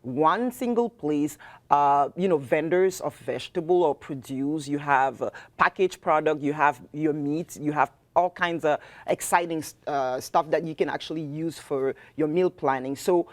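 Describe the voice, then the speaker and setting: A middle-aged woman from Cameroon with a Cameroonian accent, a restaurant owner giving warm, halting cooking advice as a guest in a studio interview. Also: sits low. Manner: warm, halting, many fillers.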